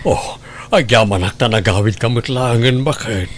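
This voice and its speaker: A man's voice: medium pitch, normal speed, slight background noise, natural voice. A man playing a forgiving, longing lover in an audio drama, with a tender, subdued manner. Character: forgiving, longing man reunited with his beloved. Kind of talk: melodramatic audio drama acting.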